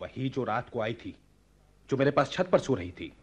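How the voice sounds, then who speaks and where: A man playing a lovestruck, hot-tempered young prince in a radio play, with a husky, theatrical delivery. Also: mid-range voice, animated, moderate pace. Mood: furious, demanding. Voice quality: husky, breathy. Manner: theatrical, poetic. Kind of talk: Hindi radio play acting.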